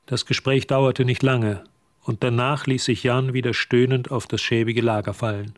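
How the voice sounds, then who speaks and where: A man narrating a scripted radio drama as a measured, observant storyteller. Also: mid-range voice, steady tone, dry recording, lightly acted. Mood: matter-of-fact.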